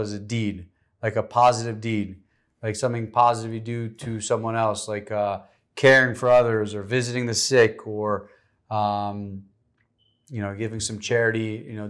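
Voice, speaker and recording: Enthusiastic rambling male wine show host. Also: mid-range voice. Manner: enthusiastic, rambling with many likes. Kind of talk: casual wine tasting chat. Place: studio set with vineyard backdrop. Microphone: lapel mic.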